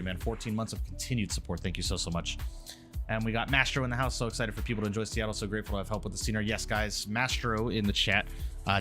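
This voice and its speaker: A man talks fast and casually on a headset mic, his slightly low voice nasal and stuffy from a cold. He greets chat by name and walks through cockpit setup. Faint background music plays under him. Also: pushed and bright. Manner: upbeat, reads out chat names and cockpit steps. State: congested, nasal from a mild flu. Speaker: flight sim streamer. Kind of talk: casual live-stream chat.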